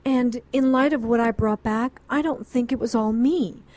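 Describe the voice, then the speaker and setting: A woman's voice: fairly high pitch, moderate pace, a little mellow, lightly acted. Woman playing a frank, composed witch recounting her past. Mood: composed, defensive. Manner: frank, self-justifying. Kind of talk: audio drama acting, narrating.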